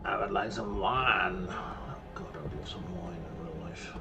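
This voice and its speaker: A man's voice: lowish voice, very animated, normal speed, vocal fry. Man musing aloud over a game. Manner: thinks aloud, musing and explaining history. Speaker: gameplay streamer. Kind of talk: casual let's-play commentary. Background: game music.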